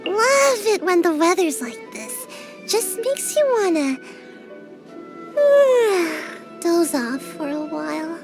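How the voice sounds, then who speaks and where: A small, drowsy girl ninja from a game. She talks slowly in a high, bouncy voice and sighs often. Faint music plays behind her. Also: big pitch swings, heavily acted. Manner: drowsy, sighing, mumbling. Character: sleepy small girl ninja, lazy and evasive. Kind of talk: video game character voice lines.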